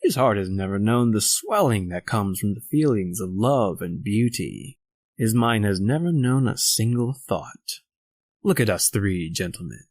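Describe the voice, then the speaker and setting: An actor playing a cold, calculating, educated murderer in a radio horror drama, speaking formally and deliberately. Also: male voice, fairly low pitch, a little mellow, no echo, full character voice. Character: cold, calculating educated murderer doctor, leader of escaped convicts. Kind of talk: old-time radio horror acting, narrating and dialogue.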